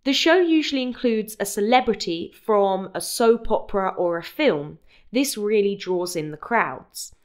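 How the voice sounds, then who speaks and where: Female podcast host, British accent, mid-range pitch, scripted and clearly explanatory delivery. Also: animated, unhurried. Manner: clear, explanatory, spells out words. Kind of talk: scripted educational narration.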